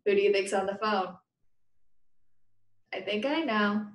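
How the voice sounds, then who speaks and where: A woman's voice: mid-range voice, unhurried, lo-fi sound. Woman teacher reading aloud in echoey room. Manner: conversational, explanatory asides. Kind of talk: children's read-aloud with questions to pupils.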